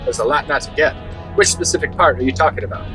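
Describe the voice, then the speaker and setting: An actor playing a brash, crude, sardonic investigator in an audio drama, wisecracking and condescending. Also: male voice, mid-range voice, fast talker, loud music behind the voice, close to an everyday voice, rough recording.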